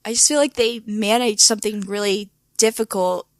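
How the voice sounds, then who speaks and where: A woman co-hosting a podcast in casual chat, with a hoarse voice and lots of filler words. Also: mid-range voice, steady tone, moderate pace, dry recording, clean studio sound. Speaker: podcast co-host.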